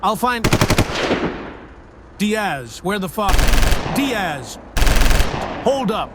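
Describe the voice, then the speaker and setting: A man's voice: sits high, big pitch swings, extremely slow, heavy background noise, exaggerated voice. A man playing a dutiful soldier with clipped, urgent delivery in an audio comic. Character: brisk, dutiful soldier in a firefight. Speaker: voice actor. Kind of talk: audio comic dub, dramatic dialogue. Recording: loud music and effects behind.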